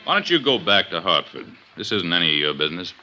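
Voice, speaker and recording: Forceful low-voiced veteran police inspector. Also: male voice, very animated, quick pace, soft background music, heavily acted. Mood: needling. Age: middle-aged. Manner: blunt, insistent, clipped questioning. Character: gruff, lean, gray-haired veteran police inspector, shrewd and insistent. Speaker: police inspector in a detective drama. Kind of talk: 1950s radio drama acting. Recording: dull vintage 1950s radio recording.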